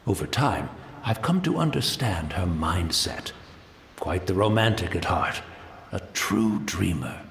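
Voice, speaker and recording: Soft-spoken old blind tea master, polite and calm, voice rising and falling a lot, roomy sound. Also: male voice, on the low side, slight background noise, exaggerated voice. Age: older. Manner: gentle, courteous, reflective. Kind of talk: game voice acting.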